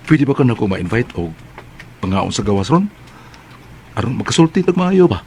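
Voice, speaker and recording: A man's voice: medium pitch, very animated, moderate pace, darkish tone, a little hiss or hum. An actor playing a young man in a radio drama who stammers and hesitates while asking a woman out. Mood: nervous, earnest. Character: young man, ex-boyfriend, awkward suitor.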